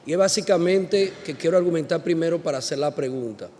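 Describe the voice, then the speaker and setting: A man's voice: a little high, fast, warm tone. Earnest man delegate in a big hall. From Dominican Republic. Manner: earnest, emphatic, building to a pointed question. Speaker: parliamentary delegate. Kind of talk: formal question from the floor. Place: large conference hall. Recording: echoey hall, faint noise.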